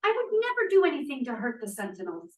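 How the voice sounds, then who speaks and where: An actress playing an earnest, idealistic young Russian émigré scientist in a 1950s period drama at a table read. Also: female voice, high voice, huge pitch swings, fast talker. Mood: pleading. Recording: echoey room, distant microphone.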